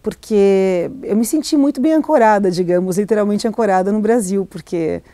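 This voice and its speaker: A woman's voice: medium pitch, normal speed, mellow, no room sound. A middle-aged woman, an author raised in Argentina, answering interview questions in a hesitant, reflective way with many restarts and fillers. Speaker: novelist, interview guest.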